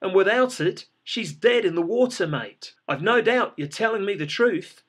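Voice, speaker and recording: A man's voice: high voice, animated, normal speed, a bit bright, voice pushed up. A man voicing an urgent male paramedic who explains things firmly and with authority.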